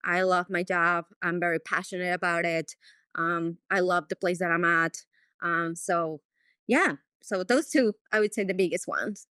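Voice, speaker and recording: A woman's voice: lowish voice, fairly even intonation, moderate pace, a bit bright, clear, resonant tone, no room sound, clean studio sound. A woman from Mexico with a Mexican accent and Spanish as her first language, answering interview questions conversationally as a veterinarian. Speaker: veterinarian, podcast guest.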